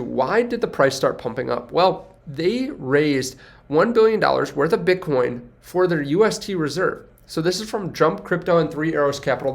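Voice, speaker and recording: Stuffy-nosed young guy chatting about money to camera, slightly high voice, keeps restarting his sentences. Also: gravelly. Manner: rambling, self-correcting, upbeat. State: congested from a cold. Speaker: finance video host. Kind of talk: casual talk to camera.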